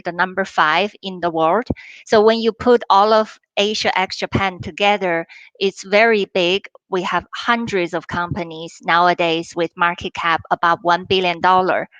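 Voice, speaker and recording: A woman speaks as a guest fund manager, explaining things in a measured way over a remote call. Her voice is low-pitched and smooth. The sound is dry and close, with no room echo. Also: fairly bright tone, dull audio. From China. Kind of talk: webinar interview answers. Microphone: remote call line.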